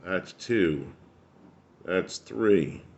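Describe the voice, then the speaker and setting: Deep voiced guy doing a casual gadget review, thinking out loud, bit of noise behind. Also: lively intonation, very slow, gravelly. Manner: rambling, thinking aloud. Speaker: radio reviewer. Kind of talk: casual hands-on product review.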